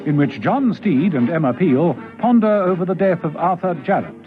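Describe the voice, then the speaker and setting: A man's voice: high-pitched, expressive, normal speed. A man narrating a scripted radio drama as its storyteller. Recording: dull old radio recording with music.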